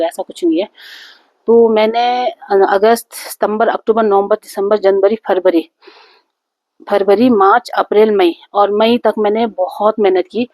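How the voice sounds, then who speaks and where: A woman's voice: mid-range voice, a little mellow, no echo. A woman vlogging casually about her channel, with a sore throat from a cold, rambling with many fillers and restarts. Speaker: YouTube vlogger. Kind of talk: casual chat to camera. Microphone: phone.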